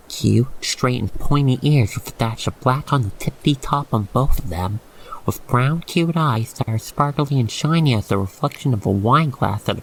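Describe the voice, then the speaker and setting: Male ASMR roleplay narrator. Medium pitch, very creaky texture, theatrical storytelling aimed straight at the listener. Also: a little hiss or hum, low-quality recording.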